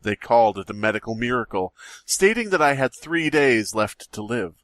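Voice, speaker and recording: A man's voice: mid-range voice, big pitch swings, moderate pace, fairly bright tone, no echo. A man co-hosting a comedy podcast, reading a silly horror story aloud with mock-dramatic flair. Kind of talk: casual comedic podcast chat.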